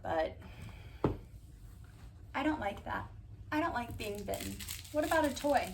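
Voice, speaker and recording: A woman's voice: on the high side, animated, measured pace, gravelly. Lady doing a chatty asmr bedtime read, dry wandering asides, bit far from the mic w some noise behind her. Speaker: cat vlogger reading bedtime picture books aloud. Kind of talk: casual ASMR storytime, read-aloud with rambling asides. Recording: distant mic, roomy background noise.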